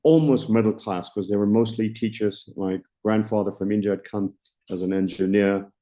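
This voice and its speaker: A South African man around sixty, a company founder answering interview questions in a measured way, often restarting as he searches for words. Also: on the low side, steady tone, warm tone. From Johannesburg, South Africa. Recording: dull lo-fi recording.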